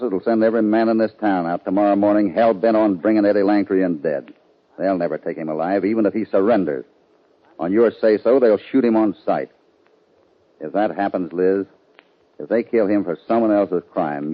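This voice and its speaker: An actor playing a steady, commanding cowboy hero in a 1950s radio western, speaking in a firm, terse, authoritative way. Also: male voice, low voice, moderate pace. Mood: stern, pressing. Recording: dull, lo-fi 1950 radio recording.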